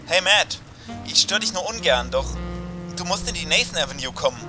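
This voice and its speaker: A brazen, scheming cop in an audio drama, played by a man, casual and insistent. The voice is high for a man and very lively in pitch, with music and rain behind it. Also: a little fast, lightly acted. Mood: apologetic. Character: brazen, bored, scheming male police detective, the partner. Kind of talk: German audio drama acting.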